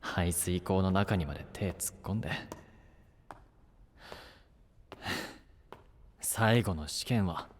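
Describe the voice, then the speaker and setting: Brash young knight, rough speech, breathy husky tone, reverberant space, anime voice drama. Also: male voice, low-pitched, normal speed. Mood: wry, musing. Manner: blunt, rough masculine speech. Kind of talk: Japanese anime-style voice drama.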